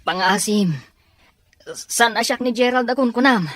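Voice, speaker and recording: A woman's voice: a little high, unhurried, a bit bright, a little hiss or hum. A woman playing an earnest, persistent young male student in an audio drama. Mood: pleading, confused.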